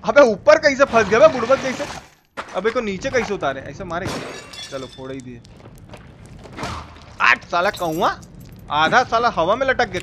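High-pitched Bihari man streaming over game noise. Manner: colloquial, repetitive, exclaiming, mock-abusive. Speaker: live-streamer playing a horror game. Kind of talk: excited gameplay commentary. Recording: noisy stream with game sounds behind.

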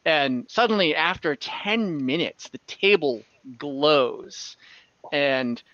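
Guy game master narrating in bits and pieces, bright voice, bit muffled video call sound. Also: a little high, lively intonation, no echo. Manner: halting, pieces scenes together in fragments. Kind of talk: improvised narration of an online tabletop fantasy game. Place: indoors at home, on a group video call. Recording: slightly dull video-call audio.

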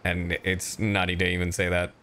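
A man streaming a game and chatting casually, animated and often halting mid-thought. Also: sits low, a little hiss or hum. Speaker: streamer and voice actor. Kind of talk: casual livestream chat over gameplay.

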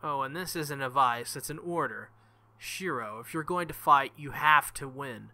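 A man's voice: mid-range voice, moderate pace, bright, forceful tone. A man reading the lines of a proud, bossy but caring teenage girl mage in his own voice. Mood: commanding.

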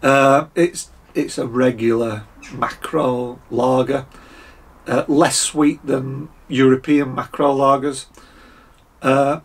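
A croaky Scottish man in his fifties, sounding husky, talks casually through a beer tasting at a desk, with plenty of fillers and the odd throat clearing. His pitch sits in the middle, and the sound is close to the camera in a small room at home. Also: flat delivery, slow pace. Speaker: beer reviewer.

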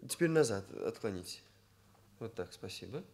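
An actor playing an earnest, self-important young trainee hairdresser in a radio drama, speaking in solemn, pedantic, stilted phrases. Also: male voice, on the low side, animated, moderate pace, a little mellow. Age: young adult. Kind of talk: Soviet radio drama acting.

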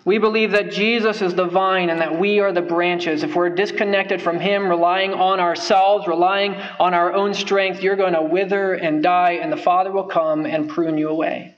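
A young man with a fairly high voice delivers a sermon from a wooden pulpit, earnest and emphatic, hammering points home through repetition. The pulpit mic recording sounds a little dull and lo-fi. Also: steady tone, normal speed, hardly any pauses. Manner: earnest, emphatic, repeats phrases for stress. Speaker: preacher. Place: church sanctuary, at a wooden pulpit. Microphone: pulpit microphone.

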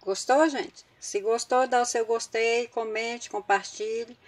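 A woman's voice: fairly high pitch, fairly even intonation, slow pace. A woman with a Brazilian Portuguese accent hosting a home cooking tutorial in her kitchen, talking chattily to her viewers as she works. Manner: chatty, addresses viewers as gente. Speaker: cooking channel host.

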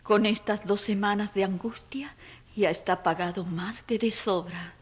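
A woman playing an anguished, protective mother in an audio drama, tender and pleading, with a husky, breathy voice. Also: mid-range voice, steady tone, a little slow. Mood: tender resolve.

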